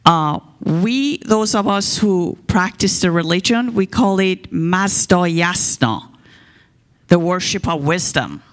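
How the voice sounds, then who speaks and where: A woman's voice: lowish voice, lively intonation, takes it slowly, big-room sound. A woman with an Iranian accent speaks into a microphone at a church service. She talks to the congregation about religion in a light, joking and self-deprecating way. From Iran. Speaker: guest speaker.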